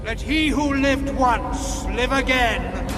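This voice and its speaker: An actor in an audio drama playing a solemn cult high priest, intoning ceremonially and with command. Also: male voice, slow pace, background noise. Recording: dramatic music underneath.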